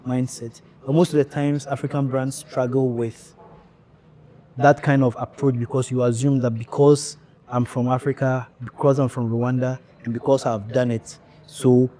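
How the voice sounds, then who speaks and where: A male podcast host who speaks in a measured, reflective way, pausing often as he builds his questions. His voice has a dark, mellow tone and a middle pitch. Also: little pitch movement. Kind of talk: conversational interview.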